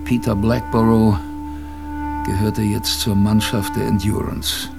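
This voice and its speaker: An actor playing an old seafarer in a radio drama, colloquially reminiscing about his youth in a gravelly voice. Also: on the low side, level tone, music in the background. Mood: fond reminiscing. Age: older. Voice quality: gravelly, creaky. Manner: colloquial, unhurried raconteur.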